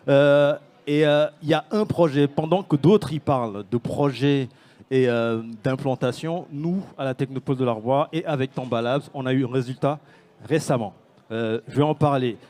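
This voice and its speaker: A man's voice: a little high, a little mellow. A man from Senegal, a startup founder, speaking on a conference panel with many fillers and restarts. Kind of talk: panel talk.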